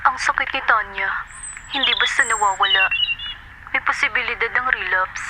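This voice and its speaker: A woman with a Filipino accent acting a concerned, steady friend giving advice in an audio drama. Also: fairly high pitch, moderate pace, very noisy, lightly acted. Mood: grave. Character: concerned, steady female confidante advising a friend.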